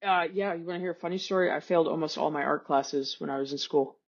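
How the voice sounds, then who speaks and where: A woman's voice: deep voice, fast talker, slightly rough. A woman with a German accent chatting casually as a podcast guest, blunt, irreverent and sweary, on a dull-sounding recording. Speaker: podcast guest, self-taught artist. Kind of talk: casual chat. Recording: dull, band-limited voice track.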